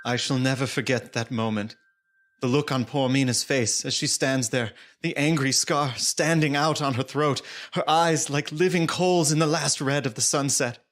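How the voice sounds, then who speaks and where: A man's voice: mid-range voice, fairly even intonation, normal speed, fairly bright tone, close to an everyday voice. A man playing a resolute young adventurer in a gothic audio drama, narrating earnestly and dramatically. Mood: grave, dramatic. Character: resolute young male adventurer hunting a vampire. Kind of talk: audio drama acting, first-person journal narration.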